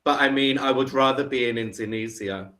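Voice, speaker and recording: A man's voice: mid-range voice, brisk, fairly bright tone. A man with a London accent, an online English tutor chatting on a video call and asking encouraging questions. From England. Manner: friendly, encouraging, asks many questions. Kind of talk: casual video call chat. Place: home in the UK.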